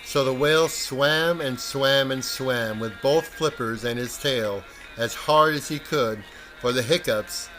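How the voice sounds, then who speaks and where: A man reading a children's story expressively, with a deliberate, rhythmic delivery that savours the words. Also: mid-range voice, fairly bright tone. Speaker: storyteller, podcast reader. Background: music.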